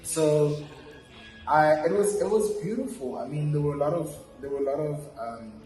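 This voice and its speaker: A man's voice: on the high side, moderate pace, mellow, roomy sound, soft background music, low-quality recording. A young man from South Africa, telling his life story in a reflective, casual interview with many fillers and restarts. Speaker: podcast guest.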